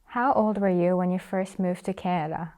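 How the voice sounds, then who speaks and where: A woman's voice: lowish voice, fairly even intonation, moderate pace, dark tone. Polite teenage girl asking questions. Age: teens. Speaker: student interviewer. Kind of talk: reading prepared interview questions.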